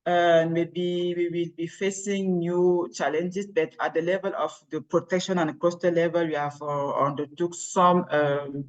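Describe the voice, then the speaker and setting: A woman's voice: lowish voice, level tone, a little slow, few pauses, muffled recording. Hesitant woman presenter on a call. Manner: hesitant, many fillers, non-native phrasing. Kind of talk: answering on a video call. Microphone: video call.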